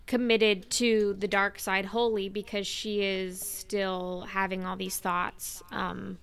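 A woman's voice: mid-range voice, flat delivery, takes it slowly, creaky, fairly bright tone, clear, resonant tone. A woman chatting casually as a podcast panelist. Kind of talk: casual chat.

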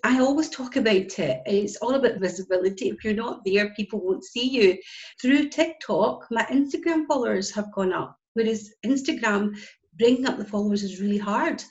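A woman's voice: medium pitch, moderate pace, a little mellow. A Scottish woman in her forties, a guest who works as a business connector, talking earnestly and with emphasis in a casual interview. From Scotland. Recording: dull lo-fi audio.

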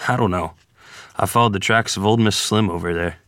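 A man's voice: lowish voice, fairly quick, a bit bright, no echo, clean studio sound, natural voice. A man acting genial, wry men in a dramatic script reading of a period story. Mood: gentle, wry. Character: genial jazzman or wry rural ferryman father. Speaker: actor at a screenplay table read.

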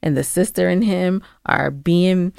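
Female podcast co-host, slightly low pitch, dry close sound, opinionated and challenging in relaxed conversation. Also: unhurried, creaky, dry recording. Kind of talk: casual conversational advice discussion.

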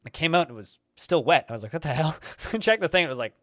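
A man's voice: a little high, very animated, very fast, fairly bright tone, no echo, studio quality. A man hosting a casual podcast who tells long, rambling stories full of fillers. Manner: rambling, laid-back storyteller with many fillers. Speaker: podcast host. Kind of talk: casual chat.

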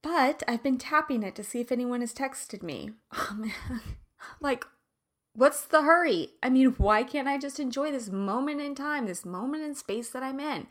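Give me a bright, raspy-voiced woman chatting casually and laughing between stories. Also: high-pitched, normal speed. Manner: chatty, animated, laughs often. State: raspy from allergies. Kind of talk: casual personal chat.

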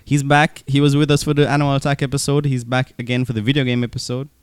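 Male podcast host, mid pitch, smooth clear voice, casual and jokey. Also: little pitch movement, fast talker, no room sound.

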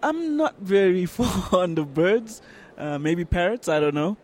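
Higher-pitched man chatting casually. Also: animated, measured pace, a little mellow. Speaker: co-host. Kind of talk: casual chat.

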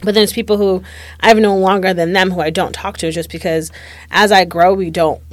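A woman's voice: fairly low pitch, fairly even intonation, fairly quick, no echo. A woman in her late twenties talking candidly and reflectively in a casual conversation, peppering her speech with "like". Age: late 20s. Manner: reflective, candid, heavy use of "like".

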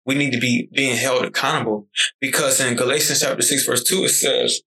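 A man's voice: mid-range voice, fairly even intonation, a little fast, gravelly, bright, forceful tone, low-quality recording. A young man in his twenties talking casually and animatedly on a podcast, swearing freely as he tells stories. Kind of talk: casual conversation.

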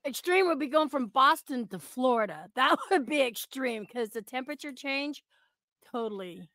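A woman's voice: high voice, animated, normal speed, pushed and bright, no room sound. A middle-aged American woman from Oregon, a podcast guest chatting casually in a giggly, joking manner. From Oregon, United States. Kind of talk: casual chat.

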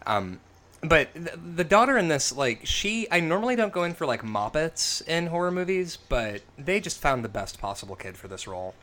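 A man with a rough, smoke-worn voice chatting casually on a film podcast, animated and quick to swear. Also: fairly high pitch. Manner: animated, swearing, acts out quotes. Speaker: podcast co-host.

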